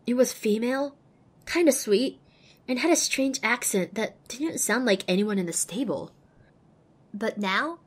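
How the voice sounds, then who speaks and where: A woman's voice: a little high, breathy edge. A woman voicing a young, determined and wry female pony who narrates her own adventure in an audio drama. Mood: curious, reflective. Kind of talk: scripted first-person narration in audio drama.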